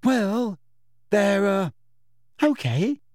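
Man doing a timid, high-voiced teenage boy. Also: very animated, slow pace, no echo, clean studio sound, full character voice. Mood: nervous. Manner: hesitant, faltering. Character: nervous, timid teenage schoolboy.

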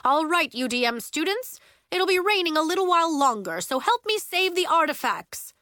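A woman voicing a kind but firm woman schoolteacher in an audiobook, instructing briskly. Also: on the high side, animated, fairly bright tone, dry recording, studio quality. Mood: commanding. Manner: instructing, encouraging.

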